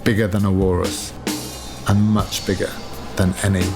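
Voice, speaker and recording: Low-voiced man reading a story over music. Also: level tone, moderate pace. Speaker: author reading own novel excerpt. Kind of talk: scripted literary narration over sound design. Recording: music behind the voice. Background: atmospheric music.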